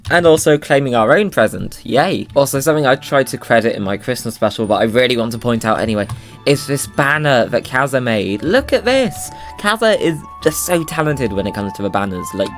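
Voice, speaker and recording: A man with a slight cold, giving playful, bouncy commentary on his Minecraft video. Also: medium pitch. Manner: playful, bouncy, silly sing-song asides. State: slightly under the weather with a cold. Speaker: Minecraft YouTuber. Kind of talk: casual gameplay commentary.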